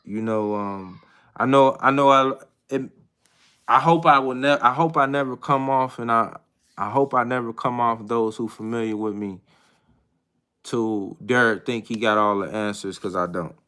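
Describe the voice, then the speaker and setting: Hesitant, bright-voiced man in his thirties. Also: medium pitch, clean tone. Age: 30s. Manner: hesitant and reflective, many pauses and restarts. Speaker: livestream host. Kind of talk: casual live chat with viewers.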